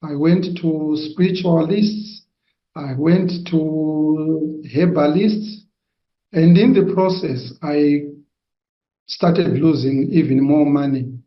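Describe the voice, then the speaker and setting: A middle-aged man from Zimbabwe, giving a personal testimony, hesitant and full of pauses. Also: on the high side. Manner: hesitant, with frequent pauses and fillers. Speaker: testimony giver. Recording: dull, roomy sound.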